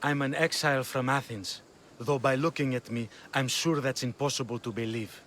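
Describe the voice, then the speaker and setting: A man's voice: medium pitch, steady tone, moderate pace, fairly bright tone, a little hiss or hum, natural voice. Man playing a pompous, wealthy old exile. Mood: boastful. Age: older. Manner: pompous, smug, condescending. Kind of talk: video game cutscene acting.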